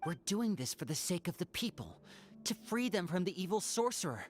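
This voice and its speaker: A man's voice: high voice, big pitch swings, a little fast, slight background noise. A young performer voicing a confident young male magician who speaks in a light, assured, persuasive way.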